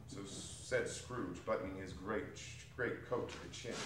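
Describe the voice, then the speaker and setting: A man narrating a classic story in an expressive, theatrical dramatic reading. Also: deep voice, unhurried. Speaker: stage narrator. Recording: very echoey hall, distant mic, faint noise.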